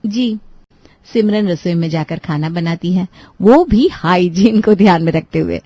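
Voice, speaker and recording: A woman's voice: fairly low pitch, expressive, normal speed, dark tone, no room sound. A woman reading scripted narration for a children's moral story.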